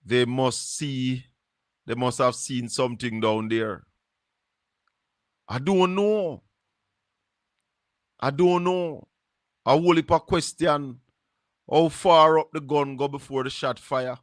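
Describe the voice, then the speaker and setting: A Jamaican man with a Jamaican accent hosting a live stream, musing slowly and slipping into patois. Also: medium pitch, dry recording, clean studio sound. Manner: slow, musing, drops into patois. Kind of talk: casual live-stream commentary.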